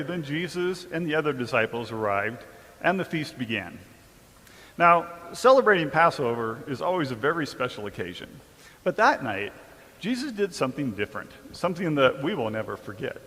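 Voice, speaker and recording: A man's voice: fairly high pitch, big pitch swings, a little fast, pushed and bright. A man playing an earnest disciple of Jesus, recounting and explaining events expressively in a live church drama. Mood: wondering. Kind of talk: dramatic storytelling in a church performance. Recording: reverberant hall.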